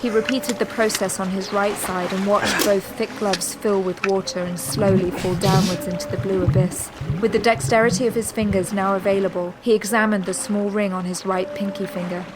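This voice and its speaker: A woman narrating a scripted audio drama in a dramatic, measured storytelling manner. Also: mid-range voice, background noise. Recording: music bed behind narration. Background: music.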